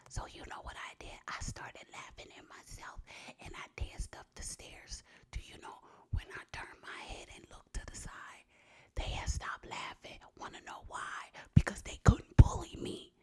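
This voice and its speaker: A woman's voice: normal speed, warm tone. A woman from Los Angeles whispering blunt, sassy advice in an ASMR style. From Los Angeles, USA. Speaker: advice giver.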